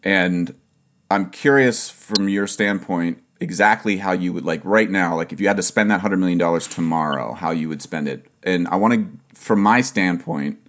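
A man hosting a casual interview, a fellow musician, speaking with halting restarts and brief backchannels. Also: sits low, fairly bright tone. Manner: conversational, short backchannels, halting starts. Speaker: interviewer, fellow steelpan player.

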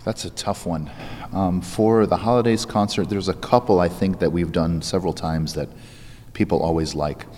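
Male interviewee, music director type: low voice, thoughtful, explanatory, conversational. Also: steady tone, normal speed, a little mellow, in a large hall. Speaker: music director of an a cappella group being interviewed.

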